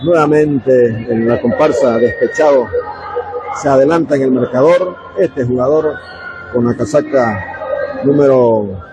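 A man with an eastern Bolivian Spanish accent giving live radio commentary on a futsal match, talking conversationally to listeners. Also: mid-range voice. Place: indoor coliseum sports court, from the stands. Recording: very noisy live sports hall. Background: loud ambient noise of a futsal match.

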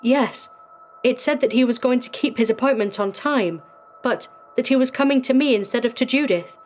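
A woman voicing a nervous, guilt-haunted male district attorney in a dramatic story reading, anxious and halting. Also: a little high, a little mellow, soft background music, voice pushed up, close to an everyday voice. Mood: uneasy foreboding. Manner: anxious, halting, stammering in fright.

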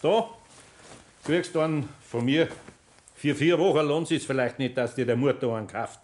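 An actor playing a patient but firm older master carpenter with a Bavarian accent, speaking in a homely, deliberate way in a 1960s radio play. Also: male voice, medium pitch, darkish tone, natural voice. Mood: patient, instructing.

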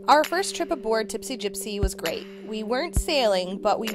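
A woman's voice: fairly high pitch, animated, moderate pace. A woman hosting a sailing vlog, reading scripted travel narration. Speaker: vlog host, sailor. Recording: loud music over the voice. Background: loud music.

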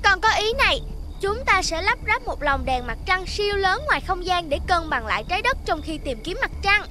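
Little girl inventor for animation, childlike and eager, sky-high animated pitch. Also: a little fast. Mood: urgent. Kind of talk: animated cartoon dub.

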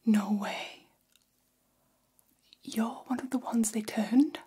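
A woman plays a friendly female vampire hairdresser in an ASMR salon roleplay. The voice is a very breathy, soft whisper with a mellow tone. It moves slowly and attentively, like intimate salon gossip. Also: on the high side, steady tone, exaggerated voice. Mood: gossipy. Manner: soft, unhurried, chatty, attentive. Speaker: ASMR performer. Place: small decorated indoor room. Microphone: close microphone.

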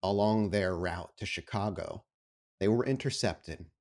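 A man's voice: deep voice, measured pace, a little mellow. A man narrating a tabletop role-play scene as the storyteller, setting it out descriptively. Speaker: game master, storyteller.

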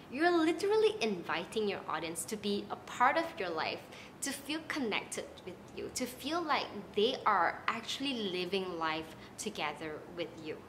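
A young woman from Malaysia with a Malaysian accent, giving casual, animated advice to the camera from her living room. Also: medium pitch, slight background noise. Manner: chatty and animated, gesturing with her hands. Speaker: content creator. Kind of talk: casual talking-head vlog advice. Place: small apartment living room, indoors.

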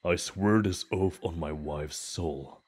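A man playing a tender, desperate father in a fantasy audio drama, speaking in an earnest, halting, hushed husky voice. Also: deep voice, full character voice. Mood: earnest, resolute.

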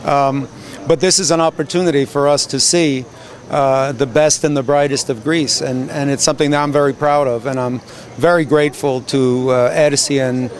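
A man in his sixties, a film studio executive, speaking in a measured, formal way on a red carpet. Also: mid-range voice, fairly even intonation.

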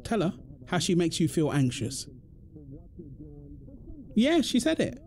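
Exasperated British husband, swooping pitch acted by a man. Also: wildly swooping pitch, takes it slowly, a little mellow, a little hiss or hum, heavily acted. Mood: angry, fed up. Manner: indignant, emphatic, confrontational. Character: exasperated, protective husband arguing with his overbearing mother-in-law. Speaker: audio roleplay voice actor. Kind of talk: ASMR roleplay drama monologue.

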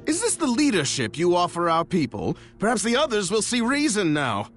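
Haughty, swooping young dragon prince. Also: male voice, high-pitched, wildly swooping pitch, normal speed, a bit bright, soft background music, heavily acted. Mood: indignant. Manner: sardonic, theatrical. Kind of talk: fantasy game cutscene acting.

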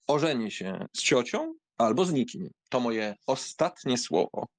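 A man plays a flippant young nobleman teasing his elders in an audiobook drama. His pitch swings widely over a slow, ironic delivery, and the voice often drops into a creak. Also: big pitch swings, dry recording. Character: mocking, carefree young count, teasing bachelor nephew.

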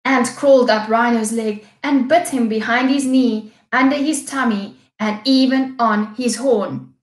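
A young woman reading a picture book aloud with deliberate, careful phrasing for small children. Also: a little high. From South Africa. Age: young adult. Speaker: children's story reader, teacher. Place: small indoor classroom-style room. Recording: echoey room.

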